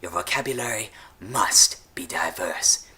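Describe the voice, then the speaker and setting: A man voicing an eccentric, grandiose villain-like leader, giving speeches with dramatic pauses in an audio story. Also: sits low, bright, forceful tone, breathy edge, heavily acted. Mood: pompous. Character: eccentric supervillain-like male benefactor, theatrically grandiose.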